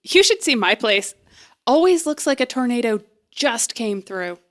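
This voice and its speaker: A young woman bluffing eagerly through an audio drama scene. Her voice sits high and swings about with lots of expression. She is flustered and hesitant, tending to ramble nervously. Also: big pitch swings, a little slow, fairly bright tone. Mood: rambling, nervous. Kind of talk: naturalistic audio drama acting.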